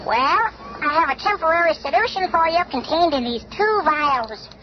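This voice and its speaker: Tiny male scientist hero, very high voice, formal and helpful, faintly noisy seventies radio drama. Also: animated, moderate pace, bright, forceful tone, exaggerated voice. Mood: calm, helpful. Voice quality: small, high-pitched miniature voice. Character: tiny ant-sized male scientist superhero, calm and helpful. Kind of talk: 1970s radio drama acting. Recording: noisy old 1970s radio recording.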